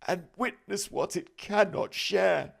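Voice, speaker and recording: A wailing chained ghost. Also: male voice, high voice, vocal fry, pitched above the performer's own voice, full character voice. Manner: howling, drawn-out and mournful.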